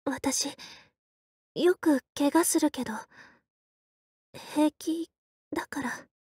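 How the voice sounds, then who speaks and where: An actress playing a quiet, reserved young female soldier in a game, soft-spoken and deadpan, speaking in short halting phrases. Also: sits high, dry recording. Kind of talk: Japanese game character voice lines.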